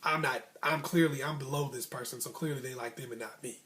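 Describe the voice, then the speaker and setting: A man's voice: medium pitch, brisk, a bit bright. An American man telling personal stories and giving life advice in an informal, conversational way, repeating phrases for emphasis. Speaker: host of a motivational storytelling vlog.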